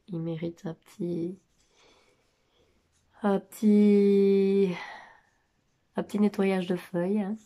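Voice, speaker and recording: A woman in her thirties with a cold and a nasal voice, chatting casually about her houseplants at home, pausing often with filler sounds. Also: fairly low pitch, mellow. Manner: hesitant, many filler sounds. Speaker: plant vlogger. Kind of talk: casual chat. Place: home living room.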